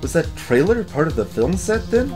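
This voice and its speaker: A woman voicing an earnest male defense lawyer in a video game, inquisitive and deliberate. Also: sits low, sing-song, music in the background, voice pushed down. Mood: inquiring. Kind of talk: voiced visual novel game dialogue.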